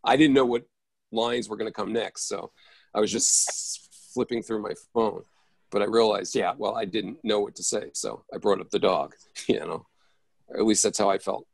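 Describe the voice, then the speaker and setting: A man's voice: lowish voice, steady tone, normal speed, creaky, no echo. A man speaking casually on a video call, reflecting as a play reader. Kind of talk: casual chat. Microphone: video call microphone.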